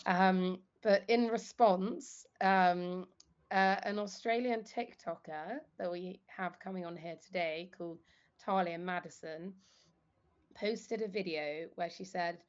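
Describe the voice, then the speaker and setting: A woman presenting and interviewing on an informal live news show, with a halting, hesitant delivery. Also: lowish voice, highs cut off.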